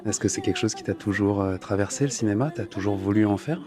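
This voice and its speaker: A man with a fairly low voice acts as interviewer. He puts his questions casually, in informal and slightly tentative phrases. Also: fast, over music.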